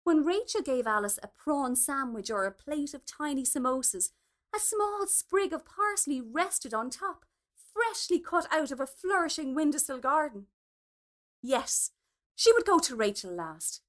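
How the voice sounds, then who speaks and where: A woman narrates a literary short story in a high voice. Her reading is expressive, with pitch that moves widely from phrase to phrase. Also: a little slow. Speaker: story reader.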